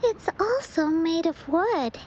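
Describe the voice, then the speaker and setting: A woman's voice: very high-pitched, expressive, slow, a little mellow, dull audio, slight background noise, full character voice. A woman voicing a timid, earnest young girl in an anime-style video game, speaking carefully and hesitantly. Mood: hesitant, curious.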